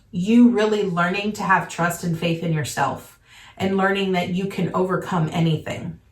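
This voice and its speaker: A woman's voice: mid-range voice, fairly bright tone, far from the mic. A woman giving a casual tarot reading, earnest and reassuring, often checking in with "okay?", her voice a little worn from congestion. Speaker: tarot reader. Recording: echoey room, voice a little off-mic.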